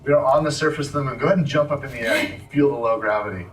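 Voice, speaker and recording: A man's voice: mid-range voice, rapid-fire, distant mic, background noise. A man giving loose live commentary on a game demo, with lots of fillers. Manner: casual, hesitant, many fillers. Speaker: game developer.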